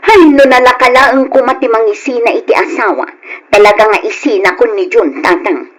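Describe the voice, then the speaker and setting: A woman's voice: a little high, a little fast, natural voice. An actress with an Ilocano accent playing a nagging, aggrieved young wife and mother in an old radio drama.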